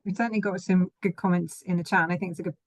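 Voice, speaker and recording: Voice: medium pitch, fairly even intonation, very fast, dry recording. A webinar moderator wrapping up loosely, with "sort of" hedges. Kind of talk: webinar panel discussion.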